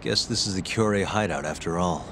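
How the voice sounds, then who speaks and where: An actor playing a cool, self-assured detective in a video game, speaking in a terse, dry, confident way. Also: male voice, low voice, brisk, background noise. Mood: dry, determined. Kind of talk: video game cutscene acting.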